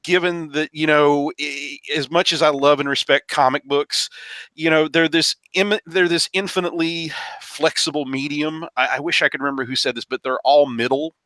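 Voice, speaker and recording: A man with a forceful, bright voice talks in a casual roundtable style. He is emphatic and effusive, stacking phrases as he goes. His pitch sits a little high, and the sound is dry. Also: steady tone, normal speed, dry recording. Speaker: podcast panelist reviewing films.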